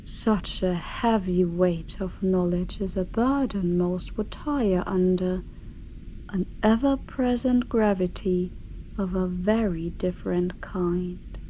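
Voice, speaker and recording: Female actor for ancient eldritch she-dragon, ASMR fantasy. Mellow, dark timbre, imperious and scornful, deliberate archaic phrasing, soft background ambience. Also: on the low side, slight background noise, low-quality recording. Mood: haughty, disdainful. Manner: grandiose, archaic, deliberate, breathy whispered passages. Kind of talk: slow ASMR fantasy monologue.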